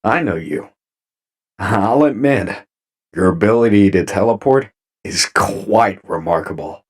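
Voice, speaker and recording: Man playing a deep, slow robot commander. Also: expressive. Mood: courteous, commanding. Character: calm, courteous robot commander. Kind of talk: audio drama acting.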